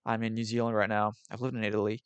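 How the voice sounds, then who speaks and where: A man from Switzerland who sounds partly American, hosting a casual call-in debate, talking haltingly with many repeated words and frequent laughs. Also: on the low side, level tone, clean tone, no echo. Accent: American-sounding with slight European tinge.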